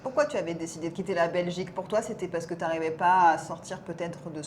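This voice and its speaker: A woman's voice: lowish voice, quick pace, a little hiss or hum. A woman hosting her own channel, interviewing a guest in a friendly, chatty way with lots of questions. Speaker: YouTube channel host, interviewer. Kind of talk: casual interview chat.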